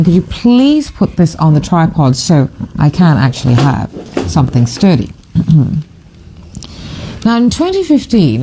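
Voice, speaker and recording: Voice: mid-range voice, mellow. A middle-aged photographer from Fiji talks casually to camera while presenting a book. The talk rambles and digresses, with many fillers and restarts, and it gushes with enthusiasm. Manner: gushing, enthusiastic. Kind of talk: casual live commentary to camera.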